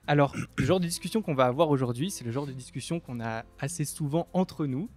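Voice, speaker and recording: A man's voice: medium pitch, fairly quick, faint music behind. A young man, a student, hosting a theology podcast, reading a sponsor segment and introducing guests in a friendly way. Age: young adult. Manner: friendly, scripted intro, clear. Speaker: podcast host.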